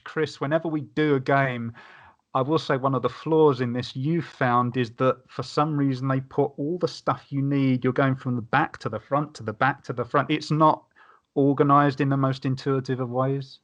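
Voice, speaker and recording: A man's voice: medium pitch, fairly even intonation, moderate pace, highs cut off. Man chatting casually on a podcast. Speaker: podcast panelist. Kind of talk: casual discussion of tabletop games.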